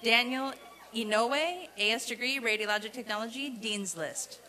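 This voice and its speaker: A woman reading out a formal roll of graduates at a commencement, in a deliberate, repetitive announcing cadence. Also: on the high side, bright, forceful tone. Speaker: commencement name reader. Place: outdoor college commencement ceremony.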